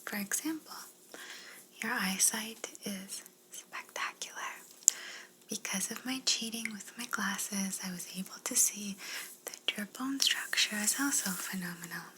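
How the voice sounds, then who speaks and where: A woman voicing a polite, curious alien examiner in an ASMR roleplay, speaking gently and formally in a breathy whisper. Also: medium pitch, unhurried, slight background noise, low-quality recording. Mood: intrigued, polite.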